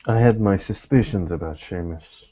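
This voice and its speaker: A man improvising in-character dialogue in a tabletop role-playing session, with measured, formal phrasing. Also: sits low, warm tone, dull audio. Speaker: role-playing gamer voicing a private investigator.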